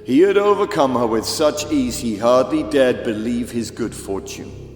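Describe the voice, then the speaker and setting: A man narrating a children's audiobook as dramatic, deliberate, theatrical storytelling. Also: mid-range voice, animated. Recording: echoey reverb with faint music.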